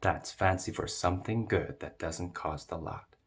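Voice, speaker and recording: A man narrating a children's storybook as a read-aloud, with expressive delivery. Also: deep voice, moderate pace, breathy edge.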